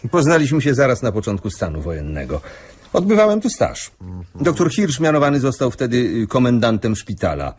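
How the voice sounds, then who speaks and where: An actor playing an older doctor who gives careful, formal, hesitant testimony in a radio play. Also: male voice, mid-range voice, very animated, a little fast, breathy edge. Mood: careful, reflective. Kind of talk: radio drama acting.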